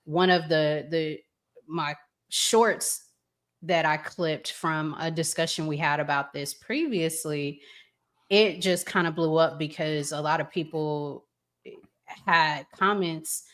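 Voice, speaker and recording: Woman, talk show host, slow unhurried pace, informal conversational delivery. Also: deep voice, steady tone, a bit bright. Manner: informal, colloquial. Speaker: host of a relationship discussion show. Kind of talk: casual conversational discussion.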